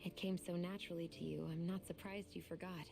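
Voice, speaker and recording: A woman's voice: lowish voice, little pitch movement, fast, gravelly. An actress playing a guarded but vulnerable young woman in a fantasy video game, speaking sincerely and confessionally. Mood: wistful. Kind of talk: video game English voice acting. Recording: music behind the voice. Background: game music.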